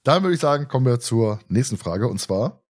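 A man hosting a casual fan podcast, chatting in an animated, joking way. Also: medium pitch, brisk. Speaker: podcast host.

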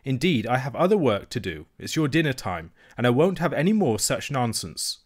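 A man's voice: mid-range voice, very animated, moderate pace. A man voicing a fussy, easily vexed woman nurse who scolds crossly. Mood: scolding.

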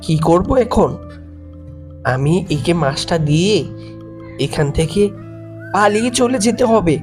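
A performer voices a fearful villager in a ghost cartoon. The voice sits at an ordinary middle pitch, talking anxiously to itself with a show of bravado, while music plays behind. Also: expressive, unhurried, darkish tone, natural voice. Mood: worried. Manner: muttering worries to self, nervously defiant. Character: fearful villager carrying fish home, putting up bravado. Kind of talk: Bengali ghost cartoon dialogue.

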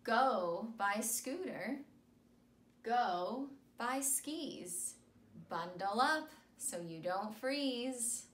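Slow, high-voiced young woman reading to children. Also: expressive, fairly bright tone. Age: young adult. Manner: simple, emphatic, repetitive phrasing for young children. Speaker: school speech therapist. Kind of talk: children's picture-book read-aloud. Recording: echoey room with noticeable hiss.

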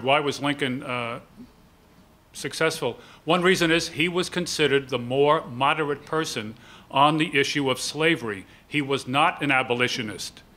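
Older man with a bright, clear-cutting voice. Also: fairly high pitch. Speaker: panel moderator. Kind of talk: public panel discussion. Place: lecture hall, at a panel table. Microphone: table microphone.

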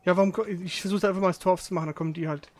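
Male streamer, notably high voice, rapid delivery, casual, sweary, exasperated gameplay chatter. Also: steady tone. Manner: frustrated, grumbling, sweary. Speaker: video game streamer.

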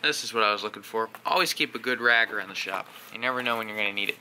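Young man, bright voice, casual how-to vlog, lots of uh and um, workshop garage, faint background noise. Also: on the low side, rapid-fire, low-quality recording. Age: young adult. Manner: relaxed, talks while working, frequent uh and um. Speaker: vlogger doing equipment maintenance. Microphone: action camera close up.

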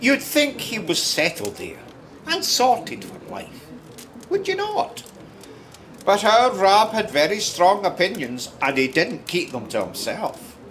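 An actor playing a chatty, wry Scottish storyteller in a radio drama, confiding and colloquial. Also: male voice, high voice, wildly swooping pitch, slow pace, fairly bright tone, a little hiss or hum, exaggerated voice. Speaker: narrator. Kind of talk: conversational radio drama narration.

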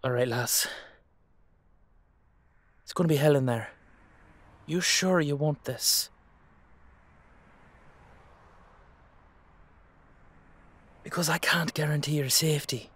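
A man's voice: on the high side, a little slow, pauses often, fairly bright tone, slightly rough, no echo. A man playing a protective, brotherly dullahan with an Irish accent, reassuring and colloquial in an audio drama. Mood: quiet, grave.

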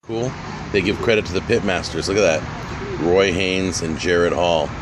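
40s guy rambling about a menu, kinda low voice, loud restaurant chatter all around. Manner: chatty, rambling, quick laughs. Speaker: food show host. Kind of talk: casual restaurant vlog. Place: busy barbecue restaurant dining room. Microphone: handheld camera. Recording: loud crowded restaurant noise.

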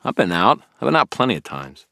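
A man's voice: fairly low pitch, big pitch swings, brisk, vocal fry, sharp tone, dry recording, clean studio sound, voice pushed up, exaggerated voice. A man voicing a tired, curt and wry grown man who grumbles back at a friend in a storybook. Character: weary, short-tempered grown man, gruff and wry.

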